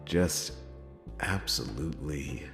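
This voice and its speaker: A man's voice: a bass voice, lively intonation, creaky, heavily acted. A man playing a world-weary, reluctant private detective in a hesitant, dramatic noir roleplay monologue. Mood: wistful, brooding. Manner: hesitant, drawn-out phrasing with pauses and sighing chuckles. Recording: echoey long reverb, music behind.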